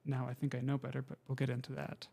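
A man in his twenties from Montana, a college student, speaking in a steady, thoughtful way on a radio talk show. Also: medium pitch, fast, gravelly, breathy edge. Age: 20s.

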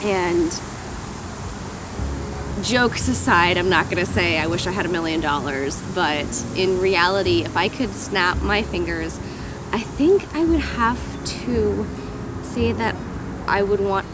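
A woman's voice: on the low side, a little slow, noisy background. A woman in her thirties giving an enthusiastic garden tour for her homesteading vlog, recorded outdoors in a garden. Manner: enthusiastic, gushing about plants. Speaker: homesteading vlogger.

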